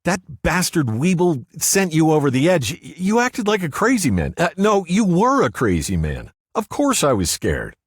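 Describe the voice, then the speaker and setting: A man voicing a feisty ghostly woman, a former gym teacher, with a teasing, quick, sharp-tongued manner in an audiobook. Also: fairly high pitch, wildly swooping pitch, husky, no echo, clean studio sound, voice pushed up, exaggerated voice. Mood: exasperated. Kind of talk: audiobook character voice.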